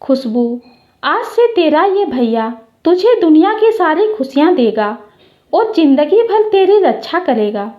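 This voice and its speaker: An affectionate little boy voiced by a woman storyteller. He is childlike, earnest and insistent, with a high, animated voice for a storybook tale. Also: a little slow, highs cut off. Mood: loving. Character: affectionate, insistent young boy devoted to sister.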